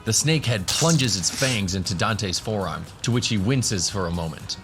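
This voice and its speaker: A man's voice: fairly low pitch, lively intonation, moderate pace. A man giving scripted narration for a fantasy audio drama. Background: music.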